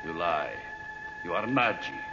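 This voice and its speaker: An actor playing a grandiose, power-mad villain in a menacing, bombastic old-time radio serial style. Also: male voice, deep voice, big pitch swings, measured pace, heavily acted. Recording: dull old radio recording, loud music.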